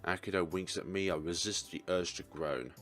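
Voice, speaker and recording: A man's voice: low voice, normal speed, fairly bright tone, soft background music. A man reading a visual novel's story aloud as a let's player. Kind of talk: reading narration and character lines aloud.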